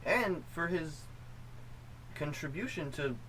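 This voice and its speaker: A man with a somewhat high voice chats casually as the co-host of a film review show. There is faint noise behind him in the recording. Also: expressive, slow, bright, forceful tone, low-quality recording.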